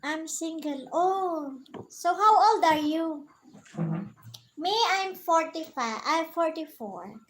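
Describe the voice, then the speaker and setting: A woman in her forties with a Filipino accent, chatting on a live stream. Her voice sits high and she talks slowly and unhurriedly. She keeps asking her viewer questions and laughs often, over a faint hiss. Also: expressive. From Philippines. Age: 40s.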